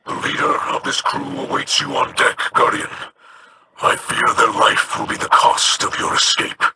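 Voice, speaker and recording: An actor voicing a solemn, dutiful male alien ally in a video game, gravelly and formal in manner. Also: medium pitch, fairly even intonation, noisy background, lightly acted. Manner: formal, measured. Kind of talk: video game character dialogue.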